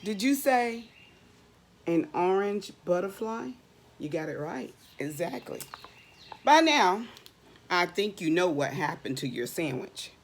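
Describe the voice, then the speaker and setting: A woman's voice: on the low side, big pitch swings, slow pace, slight background noise. A middle-aged woman doing a read-aloud storytime for young children, expressively posing questions and answering them, drawing out and repeating words for emphasis. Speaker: children's storybook reader, teacher.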